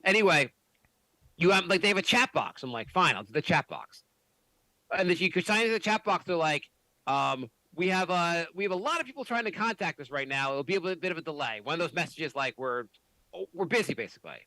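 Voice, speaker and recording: A man hosting a podcast, chatting casually, animated and swearing often with many restarts. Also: fairly high pitch, brisk, no room sound, rough recording. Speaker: podcast host. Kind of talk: casual chat.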